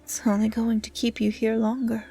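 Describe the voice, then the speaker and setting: Hushed, gentle young dryad woman voiced by an actress. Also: a little high, monotone, moderate pace, soft background music. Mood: gently firm. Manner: hushed, breathy, caring delivery, often near whispering. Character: gentle, curious young female dryad, lonely forest healer. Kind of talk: soft, intimate ASMR audio roleplay.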